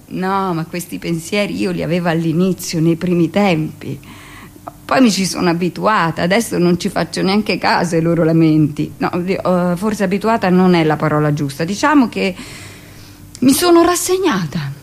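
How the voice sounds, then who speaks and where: An actress playing a hardened middle-aged midwife who confesses and justifies herself in an insistent, expressive radio monologue. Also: female voice, fairly low pitch, moderate pace, creaky. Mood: resigned.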